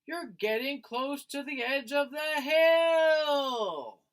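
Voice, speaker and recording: A man's voice: extremely high pitch, lively intonation, extremely slow, fairly bright tone, pitched above the performer's own voice, full character voice. A man voicing a protective, worried mother in a storybook, calling out urgently. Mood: alarmed.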